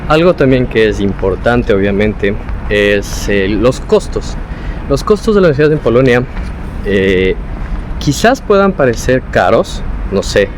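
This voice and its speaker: A man's voice: mid-range voice, big pitch swings, measured pace, a little hiss or hum. A young man with an Ecuadorian Spanish accent, talking casually and explanatorily as a vlogger giving advice from his own experience. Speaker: vlogger, former international student.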